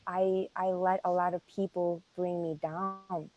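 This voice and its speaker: A woman's voice: fairly low pitch, flat delivery, a little slow, a little mellow, no echo, dull audio. A woman, a native Greek speaker, talking earnestly in a casual interview, with many fillers and restarts. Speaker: interview guest.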